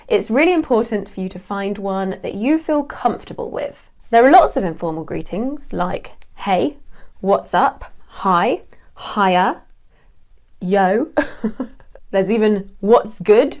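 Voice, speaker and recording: A young woman from England with a British English accent, teaching an online English lesson with a clear, deliberate delivery. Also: mid-range voice, lively intonation.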